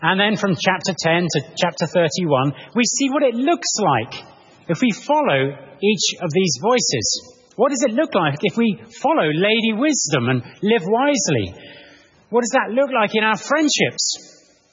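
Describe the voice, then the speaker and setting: A man preaching an expository sermon in a measured, explanatory manner, posing rhetorical questions to his listeners. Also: high-pitched, very animated, husky. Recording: muffled, reverberant large hall.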